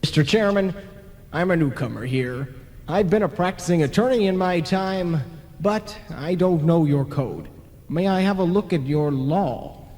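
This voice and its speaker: An actor playing a brash, wily ghost lawyer, theatrical and self-assured, in an old-style radio play. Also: male voice, on the high side, lively intonation, a little slow, a little mellow. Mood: confident, cajoling. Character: brash, wily male ghost lawyer, newly dead, cocksure. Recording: long hall reverb.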